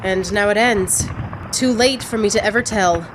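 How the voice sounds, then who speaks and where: An actress in a radio play as a brave, self-denying soldier-poet who speaks in a guarded, theatrical way. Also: female voice, mid-range voice, normal speed, noisy background, close to an everyday voice. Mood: wistful, urgent. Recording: music under the dialogue.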